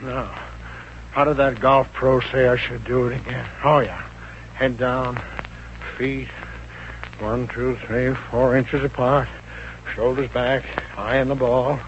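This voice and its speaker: A man's voice: medium pitch, flat delivery, slow, pauses often, lightly acted. An American actor playing a short-tempered, blustering businessman obsessed with golf in a 1950s radio comedy. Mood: irritated, fussy. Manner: blustering, stammering when flustered. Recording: muffled, noisy old radio recording.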